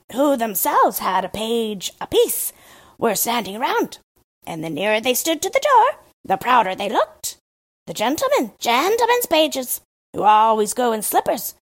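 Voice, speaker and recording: A woman's voice: fairly high pitch, pitched above the performer's own voice. A woman voicing a chatty, boastful male crow in a storybook reading. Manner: lively, chattering and eager to gossip.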